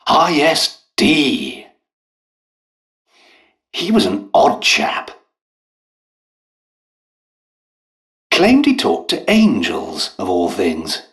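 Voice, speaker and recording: An animated, courteous British doctor. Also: male voice, medium pitch, full character voice. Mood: musing, professorial. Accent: British English. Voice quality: refined, well-spoken. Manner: courteous, hesitant, understated, chatty. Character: polite, eccentric British royal doctor and scientist, apologetic. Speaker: video game character voice actor. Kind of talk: video game voice acting.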